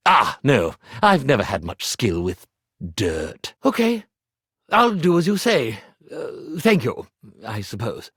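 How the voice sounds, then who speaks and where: A man's voice: high voice, dry recording, studio quality, heavily acted. An actor playing a theatrical, grandiose, angst-ridden male magician in a video game, with pompous, florid, melodramatic delivery. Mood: hesitant, downcast. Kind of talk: animated video game character acting.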